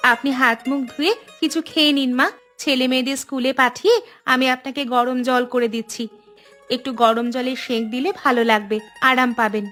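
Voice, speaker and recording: A woman's voice: high-pitched, steady tone, brisk, fairly bright tone, faint music behind. A woman voicing a caring, hardworking young village wife in a Bengali cartoon. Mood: concerned, reassuring.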